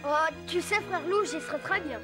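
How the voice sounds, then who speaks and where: A woman plays a small boy of the jungle in an animated cartoon. The voice is high and childlike, polite and earnest, with an independent streak. Soft music sits faintly behind it. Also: moderate pace. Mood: friendly.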